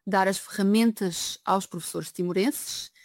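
A woman answering questions, often pausing on fillers and correcting herself. Her voice is mid-pitched and recorded dry and close. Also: no echo. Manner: hesitant, many fillers and self-corrections. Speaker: guest expert, project coordinator. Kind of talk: spontaneous interview answers.

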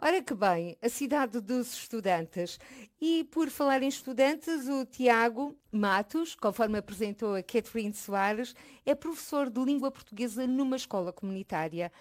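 A woman hosting an interview, her voice pitched slightly above average. She speaks clearly and formally as she introduces guests and asks questions. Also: animated, normal speed. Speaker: interviewer, host. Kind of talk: broadcast interview.

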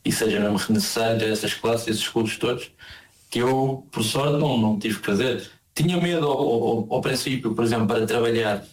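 A Portuguese man with a European Portuguese accent, a guest in a casual interview, telling stories quickly and animatedly with frequent restarts. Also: mid-range voice, reverberant, low-quality recording. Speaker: interview guest living in Argentina.

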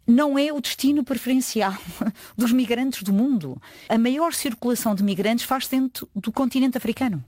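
A woman's voice: fairly high pitch, moderate pace, a little mellow, no room sound. A woman, giving earnest, emphatic interview answers with frequent hesitations. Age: 50s. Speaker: UN agency official, guest.

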